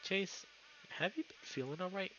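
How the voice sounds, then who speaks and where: Guy doing a shy nervous lynx boy, gentle n hesitant, bit higher voice, muffled noisy mic. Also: expressive, normal speed. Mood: concerned. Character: timid young male lynx, anxious and gentle.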